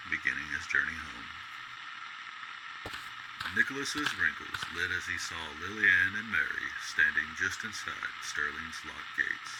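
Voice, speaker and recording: A man reading scripted, storybook-style narration for a radio drama. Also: low-pitched. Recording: clearly noisy background.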